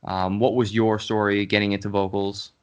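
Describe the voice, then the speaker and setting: A man's voice: fairly low pitch, flat delivery, normal speed, clean tone. A man in his late twenties hosting a podcast interview in a loose, joking way, swearing freely. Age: 20s. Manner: loose, swearing, self-mocking. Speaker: podcast host.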